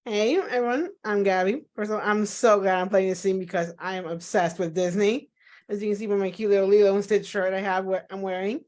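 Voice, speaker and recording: A woman introducing herself as a returning contestant in a bubbly, excited way. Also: medium pitch, fairly quick.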